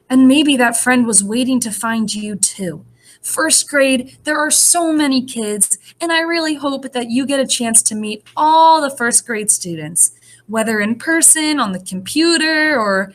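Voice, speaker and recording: A woman speaking as a first-grade teacher, reading and explaining to young children in an animated, encouraging way. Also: sits high. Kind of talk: read-aloud and lesson addressed to young children.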